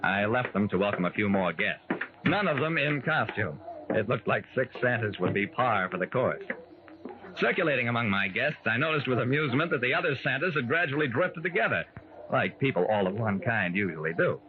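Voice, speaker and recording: A man's voice: medium pitch, lively intonation, brisk, close to an everyday voice. Guy playing friendly american detective, old radio drama, muffled and hissy, voice moves around a lot. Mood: narrating. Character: affable male private detective narrating his casebook. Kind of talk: 1940s-50s radio acting. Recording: noisy, band-limited old radio recording.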